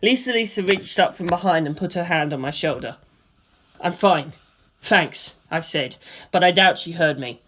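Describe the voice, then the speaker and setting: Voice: medium pitch, fairly quick, a bit bright. First-person storyteller with spoken sound effects. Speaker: narrator. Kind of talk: first-person audiobook narration.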